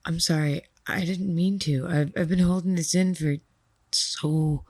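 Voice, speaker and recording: Earnest young best friend for audio drama: low, gravelly, anxious, tentative. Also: female voice, steady tone, measured pace, a little mellow, no echo, clean studio sound. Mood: nervous, vulnerable.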